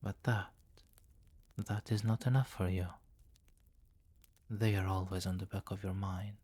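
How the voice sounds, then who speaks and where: A man playing a possessive, commanding vampire king in an intimate ASMR fantasy roleplay, speaking formally and deliberately, with courtly, doting authority. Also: low-pitched, flat delivery, darkish tone. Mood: exasperated, reassuring.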